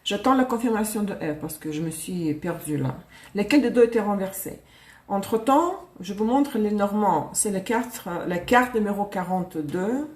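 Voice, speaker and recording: A woman's voice: mid-range voice, lively intonation, moderate pace. Chatty woman. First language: Russian. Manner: chatty, self-correcting, laughs often. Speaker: tarot reader. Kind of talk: live-stream tarot reading. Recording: echoey room.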